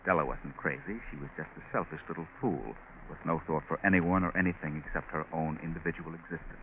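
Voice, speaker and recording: Ominous male mystery narrator, American, very low, mocking and omniscient; 1940s radio fidelity. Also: steady tone, quick pace. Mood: knowing, scornful. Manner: ominous, knowing, moralizing. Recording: scratchy narrow-band 1940s radio, music behind.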